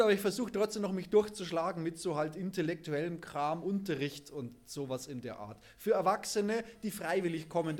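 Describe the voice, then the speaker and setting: A man, a lecturer, introducing himself to an audience in a chatty, self-deprecating, halting way. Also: high-pitched. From Munich, Germany. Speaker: lecturer giving a talk.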